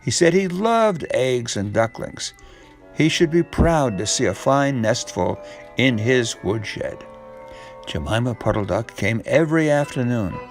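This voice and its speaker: A man narrating a children's storybook from a script, with deliberate, expressive phrasing. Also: mid-range voice, faint music behind.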